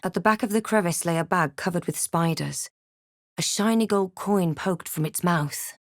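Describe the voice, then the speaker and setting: A brooding female fantasy adventurer, acted by a woman, telling her own journey for a video game. Her voice is somewhat low and closely, dryly recorded. The delivery is measured, literary storytelling. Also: no echo, studio quality, close to an everyday voice. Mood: grave, storytelling. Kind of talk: scripted first-person game narration.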